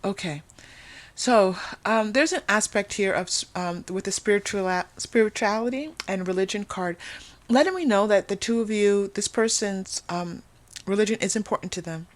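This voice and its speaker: A woman's voice: mid-range voice, normal speed. Woman giving an earnest, emphatic tarot reading. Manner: earnest, emphatic, often repeats and rephrases phrases. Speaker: tarot reader hosting a channel. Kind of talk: unscripted spiritual tarot reading addressed to viewers.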